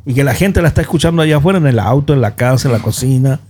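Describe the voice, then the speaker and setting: A man's voice: medium pitch, lively intonation, racing, warm tone, breathy edge. A man hosting a radio show, interviewing guests conversationally at a studio microphone. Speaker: radio host.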